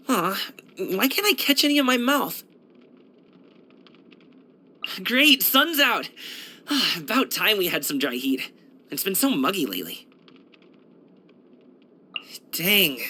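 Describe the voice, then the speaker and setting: A man's voice: high voice, big pitch swings, measured pace, pauses often, bright, forceful tone, heavily acted. A man voicing an upbeat young kung fu street dancer and courier in a video game, breezy, slangy and chatty. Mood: casual, playful. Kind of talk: video game character voice lines.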